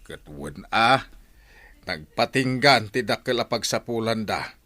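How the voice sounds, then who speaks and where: Low-voiced gossiping village husband played by a man. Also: animated, unhurried, bright, forceful tone. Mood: concerned, gossipy. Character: concerned village husband and father sharing news. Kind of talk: Ilocano radio drama acting.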